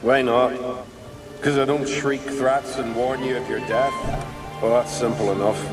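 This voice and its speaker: A man acting a slow, world-weary warrior ghost. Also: medium pitch, fairly even intonation, loud music behind the voice, close to an everyday voice. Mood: weary, bored. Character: weary, jaded male ghost of a doomed warrior. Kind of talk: video game cutscene acting.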